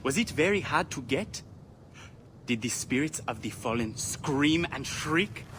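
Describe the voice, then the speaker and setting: A man's voice: medium pitch, lively intonation, measured pace, a bit bright, faint music behind. A man playing an earnest, bookish young son of an Egyptian warrior in a video game, with a dramatic, poetic way of speaking. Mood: eager, dramatic. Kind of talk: video game cutscene acting.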